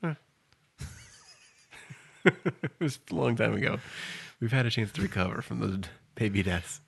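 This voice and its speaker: A man's voice: mid-range voice, expressive, measured pace, vocal fry, breathy edge. A man co-hosting a casual podcast, dry and teasing, swearing freely. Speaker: podcast co-host.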